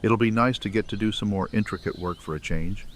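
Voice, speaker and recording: A man's voice: on the low side, a little fast, slight background noise, lightly acted. A man playing an obliging, laid-back blacksmith in an audio drama, speaking in a plain, folksy way. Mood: amiable. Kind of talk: fan audio drama acting.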